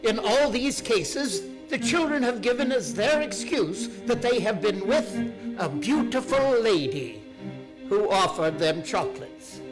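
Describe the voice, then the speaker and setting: An actor playing an earnest, old-fashioned newspaper announcer, reading in dramatic radio style. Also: male voice, sits high, very animated, measured pace, rough recording. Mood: urgent, formal. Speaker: newspaper reader. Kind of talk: dramatic scripted news reading. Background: music.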